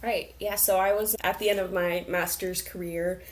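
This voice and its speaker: A woman's voice: on the low side, fairly even intonation, moderate pace, slight background noise, rough recording. A young woman, a programs manager, talking earnestly and reflectively in an interview conversation, laughing now and then.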